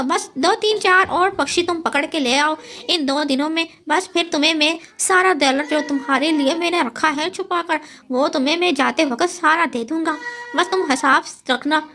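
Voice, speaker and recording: A woman voicing a scheming, deceitful male owl villain in a children's cartoon, wheedling and falsely flattering as he stalls. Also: high-pitched, normal speed, pitched above the performer's own voice.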